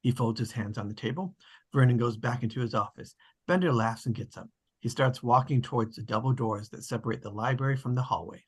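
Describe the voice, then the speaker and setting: A man narrates the action of a script, reading stage directions aloud. His voice is medium-pitched with a rough, husky grain. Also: a little fast.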